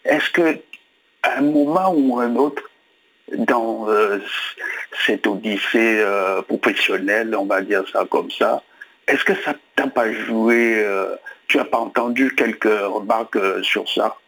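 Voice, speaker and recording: Male caller on the phone, lowish voice, halting delivery. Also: a bit bright, poor audio. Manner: halting, full of hesitations. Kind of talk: call-in radio question. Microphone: telephone.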